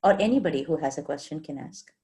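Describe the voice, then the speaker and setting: A woman's voice: low-pitched, animated, fast talker, dark tone. A woman organizing an online class, speaking politely and briefly over a video call.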